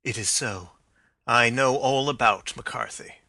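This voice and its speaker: A man voicing a calm male detective who speaks gently and gravely. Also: mid-range voice, no echo, exaggerated voice. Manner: measured and gentle, gravely reassuring.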